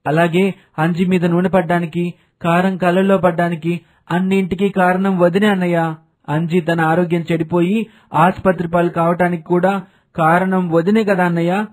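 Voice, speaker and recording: A man voicing an earnest, pleading younger village man in an animated moral story, respectful and insistent. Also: high voice, moderate pace, lightly acted.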